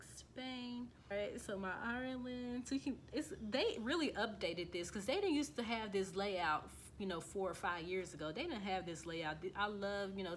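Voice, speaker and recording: An American woman with a slightly low voice talks loosely to her viewers, full of "um"s and self-corrections. She reads through results as she goes. A faint hum sits underneath. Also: a bit bright. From United States. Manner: chatty and loose, with frequent fillers and restarts. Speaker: vlogger. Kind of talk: casual talk to camera while reading results off a screen.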